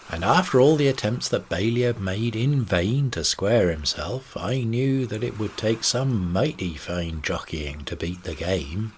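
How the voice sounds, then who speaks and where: A man's voice: low-pitched, slight background noise. A man narrating a bedtime audiobook, telling an old seafaring yarn in the first person. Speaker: story reader.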